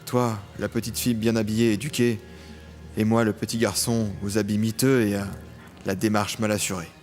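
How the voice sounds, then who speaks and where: Un acteur joue un jeune homme tourmenté, ancien orphelin des rues, dans une fiction audio au ton solennel et littéraire. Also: male voice, fairly low pitch, flat delivery, brisk, darkish tone, soft background music, close to an everyday voice. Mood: wistful, solemn. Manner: solemn, literary, nostalgic. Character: brooding young man, former street orphan, recalling childhood with emotion. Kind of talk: dramatic first-person audio drama narration.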